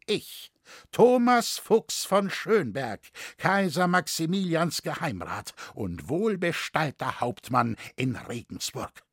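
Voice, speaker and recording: A man's voice: a little high, sing-song, measured pace, hardly any pauses, fairly bright tone, slightly rough, no echo, clean studio sound, heavily acted. A man voicing a haughty, stern imperial captain in a story, dignified and declamatory in archaic speech. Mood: stern, proclaiming.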